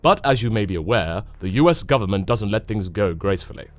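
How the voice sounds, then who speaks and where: A man's voice: lowish voice, expressive, fast, natural voice. A man playing a dry, officious commander giving comic mission briefings in a wry, condescending way. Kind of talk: comedic mission briefing in a parody dub.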